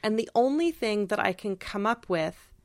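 A woman's voice: medium pitch, animated, normal speed, dry recording. A woman co-hosting a podcast in casual analytical discussion, emphatic and reflective, sprinkling in "like" as she thinks aloud. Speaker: podcast co-host.

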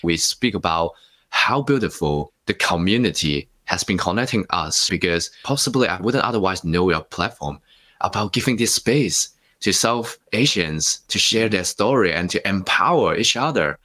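A man from Hong Kong with Cantonese as his first language, his voice sitting very low. He answers as a podcast guest, reflective and unhurried, telling stories and leaning on "you know" as he goes. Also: expressive, pushed and bright. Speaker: guest, life coach and author. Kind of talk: podcast interview.

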